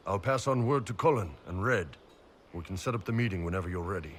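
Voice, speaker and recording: A low-voiced, gruff mercenary giant. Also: male voice, expressive, quick pace, slight background noise. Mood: matter-of-fact. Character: big horned Qunari mercenary warrior, gruff, laid-back. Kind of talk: video game dialogue.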